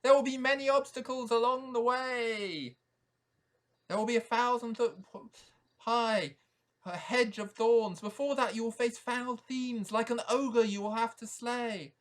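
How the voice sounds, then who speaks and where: A man voicing an urgent storybook owl who gives insistent quest instructions with drawn-out hoots. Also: very high-pitched, steady tone, fairly bright tone, voice pushed up.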